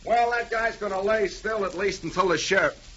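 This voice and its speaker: Scrappy high-pitched sidekick. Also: male voice, normal speed, a bit bright. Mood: amused. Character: scrappy male sidekick with a grudge. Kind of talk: 1940s radio acting. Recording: dull, hissy 1940s radio recording.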